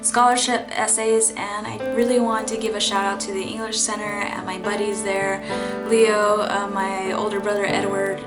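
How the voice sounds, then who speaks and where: A woman's voice: mid-range voice, level tone, moderate pace, over loud music. Earnest young woman in an echoey room. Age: young adult. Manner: earnest, reflective, many fillers and restarts. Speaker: college student vlogger. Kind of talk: personal faith testimony vlog.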